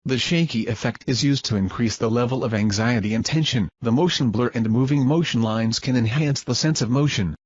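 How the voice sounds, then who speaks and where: A man with a slightly husky voice delivers formal, scripted research narration. He reads in a measured, expository way at a middling pitch. The recording is dry and a little dull. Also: no echo. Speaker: research presenter.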